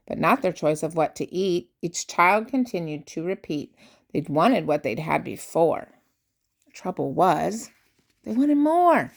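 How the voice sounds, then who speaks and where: An older woman, a grandmother, reads a rhyming children's picture book aloud with expressive, theatrical emphasis on key words. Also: fairly low pitch. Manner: theatrical emphasis, drawn-out key words, dropping to hushed asides.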